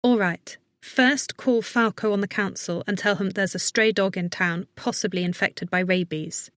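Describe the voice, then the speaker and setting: A woman's voice: mid-range voice, normal speed, a bit bright, dry recording, clean studio sound, lightly acted. A woman voicing a worried but capable woman vet in an audiobook, giving firm, urgent, reassuring instructions. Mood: brisk, urgent. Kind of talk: audiobook character acting.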